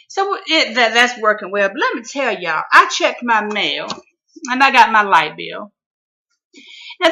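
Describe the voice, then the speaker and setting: A man from Mississippi with a Southern accent and a high-pitched voice, telling a comic story in a folksy, exclaiming way. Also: pushed and bright, poor audio. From Mississippi, USA. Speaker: vlogger.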